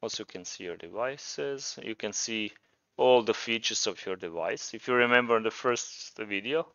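A man's voice: on the low side, moderate pace, fairly bright tone, no echo, dull audio. A man with a Croatian accent presenting a programming tutorial, talking informally and thinking aloud as he demonstrates. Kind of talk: casual screencast tutorial narration.

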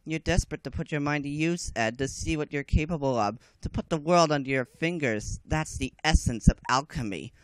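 A man's voice: fairly high pitch, fairly quick, hardly any pauses, dry recording, close to an everyday voice, low-quality recording. A man in an anime fan dub playing a coldly self-justifying alchemist, smug and taunting. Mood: cold, resigned.

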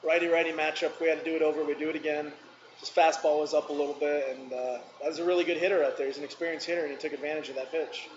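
A man's voice: a little high, fairly even intonation, rapid-fire. A man in his thirties, a baseball team manager, answering press questions in a plainspoken, matter-of-fact way at a table mic. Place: press conference room, seated at table. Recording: echoey room, dull noisy sound.

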